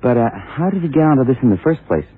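An actor playing a detective's younger male sidekick, eager and puzzled, questioning haltingly in vintage radio drama style. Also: medium pitch, big pitch swings, breathy edge. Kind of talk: 1960s-70s radio drama acting. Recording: muffled, narrow-band vintage radio recording.

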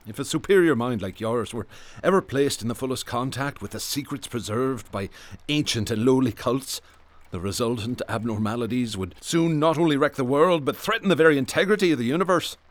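A man's voice: medium pitch, a little fast, lightly acted. A man playing a brooding, bookish Irish police detective who speaks in ornate, literary phrases in a radio drama. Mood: haunted.

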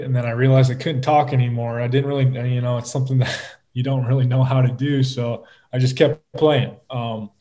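Young male guest, mellow low-key delivery, laid-back and rambling. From Minnesota. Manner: relaxed, rambling, with many fillers. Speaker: guest, pro football player. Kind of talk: casual interview.

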